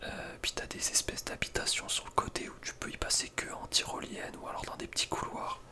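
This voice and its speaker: A young man speaks in a soft breathy whisper, giving casual, slangy ASMR gaming commentary with a hesitant delivery. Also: brisk. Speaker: ASMR video creator.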